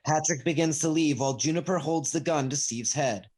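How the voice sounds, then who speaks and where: A narrator reading stage directions aloud at a comedy table read in a husky voice. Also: medium pitch, a little fast, darkish tone. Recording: video call.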